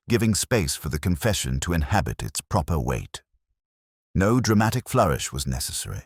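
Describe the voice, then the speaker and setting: A man reading a scripted first-person detective story in a measured, formal, reflective manner. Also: low-pitched, dry recording, studio quality. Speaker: audiobook-style story narrator.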